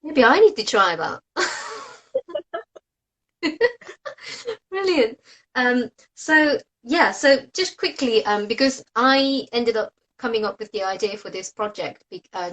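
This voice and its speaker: A Japanese woman in her mid-thirties hosts a relaxed online chat over a video call. She talks in English in a friendly, upbeat way, laughing easily and quick to praise her guest. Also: mid-range voice, rough recording. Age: 30s. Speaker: host, oboist. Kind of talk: casual online interview.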